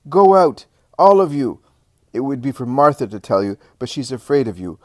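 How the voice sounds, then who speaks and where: A man's voice: medium pitch, fast, a bit bright, dry recording, clean studio sound, natural voice. A man voicing a fierce, defiant woman of imperious faith, commanding and thundering, in a dramatic story reading. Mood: wrathful.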